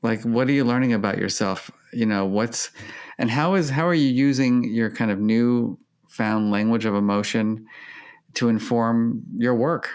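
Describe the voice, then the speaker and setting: A man hosting an interview, asking gentle, probing questions in a conversational way. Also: mid-range voice, measured pace, smooth. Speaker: podcast host.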